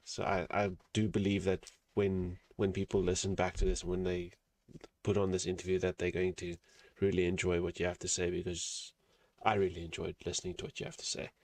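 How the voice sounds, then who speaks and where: A male interview host whose first language is Afrikaans, chatting casually over a call, friendly and quick to agree. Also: low voice, flat delivery, a little mellow, dry recording.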